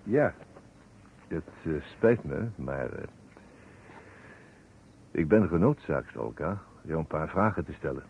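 A man playing a shrewd, courteous investigator in a Dutch radio drama, speaking formally and deliberately. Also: extremely low pitch, lively intonation, creaky, exaggerated voice. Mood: measured, insistent. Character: shrewd, courteous male investigator, probing and methodical. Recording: tinny, noisy old radio recording.

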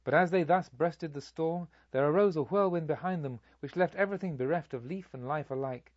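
A man reading literary narration as an audiobook, in a solemn, measured storytelling manner. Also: fairly high pitch, darkish tone, no room sound, dull audio. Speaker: audiobook reader.

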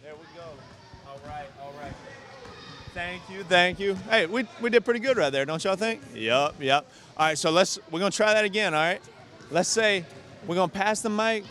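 A man's voice: sits high, normal speed, bright, forceful tone. A young man reporting from a gym, interviewing kids with a handheld mic in an upbeat, encouraging way. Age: young adult. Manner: upbeat, encouraging, repeats short affirmations. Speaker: TV sports reporter. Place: large indoor gym. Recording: noisy gym with bouncing balls.

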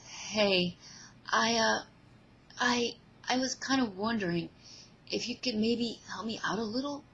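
A woman voicing a charming, joking teenage boy superhero with playful, halting bravado in an amateur audio drama. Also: a little high, fairly bright tone, slightly rough, highs cut off, voice pushed up, full character voice. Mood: awkward.

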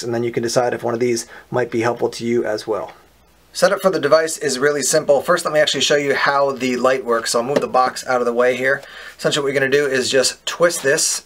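Man, gravelly, mid-pitched, casual product demo, outdoors. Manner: relaxed, explains step by step with fillers. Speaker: product reviewer. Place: outdoors on a paved patio.

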